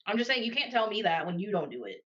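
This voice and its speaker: A woman argues casually on a phone call in a challenging way. Her voice is medium in pitch and her pace is brisk, over slightly muffled lo-fi audio. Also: big pitch swings, fairly bright tone. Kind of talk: casual argumentative phone conversation. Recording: dull lo-fi phone call recording.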